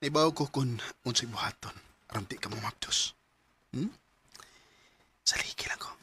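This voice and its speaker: A man's voice: medium pitch, normal speed, pushed and bright, whispered, natural voice. A man playing an impulsive, pushy young sweet-talking boyfriend in a radio drama, with a Cebuano Visayan Filipino accent. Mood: pushy, cajoling.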